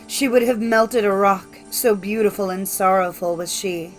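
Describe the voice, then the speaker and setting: Female narrator for classic fairy tales: medium pitch, formal scripted storytelling over music. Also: normal speed, poor audio. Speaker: audiobook narrator.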